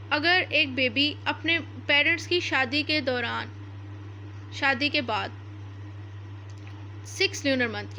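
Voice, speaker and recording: A woman with a Pakistani accent teaches in a very high, bright voice. She explains like a lecturer, going back over each point in new words. There is some background noise on the recording. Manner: didactic, repeats and rephrases points. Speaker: law lecturer. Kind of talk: explanatory lecture, reading out statutes and paraphrasing them.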